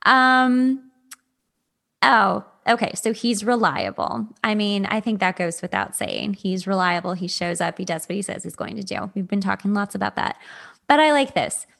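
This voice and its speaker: Woman, podcast host; casual conversational delivery with animated asides, mid-range female pitch. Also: normal speed, gravelly. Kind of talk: casual chat.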